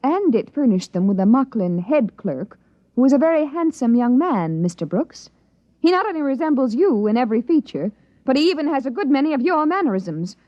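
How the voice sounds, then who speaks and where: Imperious high-voiced lady inspector. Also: very animated, a little fast, no room sound. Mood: icy, commanding. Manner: haughty, clipped, commanding. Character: imperious, curt woman company inspector. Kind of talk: 1950s radio drama acting. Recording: dry, muffled old radio recording.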